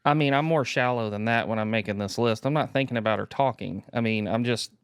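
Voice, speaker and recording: A man's voice: medium pitch, quick pace, clear, resonant tone, no room sound, clean studio sound. A man co-hosting a podcast in an easygoing, casual, self-deprecating chat. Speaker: podcast co-host.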